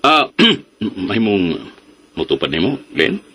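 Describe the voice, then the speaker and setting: An actor in a radio drama playing a smug, pushy, jealous man who teases and scoffs in a theatrical, drawn-out way. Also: lowish voice, very animated, sharp tone, slightly rough, exaggerated voice. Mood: awkward, flustered.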